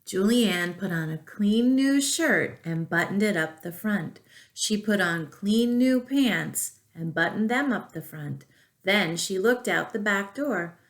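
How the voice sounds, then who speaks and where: A woman reading a storybook aloud to young children, expressive and deliberate, with asides to the listeners. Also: medium pitch. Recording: echoey room.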